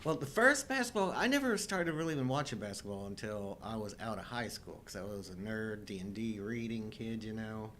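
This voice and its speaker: A man's voice: on the low side, brisk, few pauses, fairly bright tone, slight background noise. A middle-aged man chatting casually as co-host of a live show. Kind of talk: casual chat.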